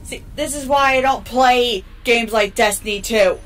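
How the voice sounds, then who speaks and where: A woman's voice: a little high, a little slow, fairly bright tone, soft background music. A woman co-hosting a gaming show with casual, blunt chat over gameplay.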